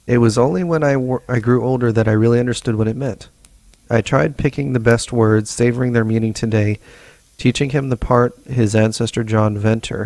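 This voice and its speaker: A man hosting a let's play, reading in-game notes slowly and evenly between short casual asides. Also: mid-range voice, darkish tone, no room sound. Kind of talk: casual gameplay commentary and reading notes aloud.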